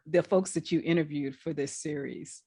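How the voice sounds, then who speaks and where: A woman in her fifties hosting a podcast interview, telling an anecdote with animated quoted lines and frequent laughter. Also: deep voice, moderate pace, slightly rough. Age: 50s. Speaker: podcast host. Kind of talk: casual interview chat.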